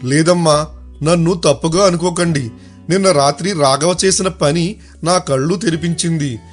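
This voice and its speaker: Man acting an earnest, higher-voiced village man. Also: normal speed, faint music behind, lightly acted. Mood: humbled, earnest. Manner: earnest, respectful. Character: earnest men of a village moral tale. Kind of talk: animated moral story dialogue.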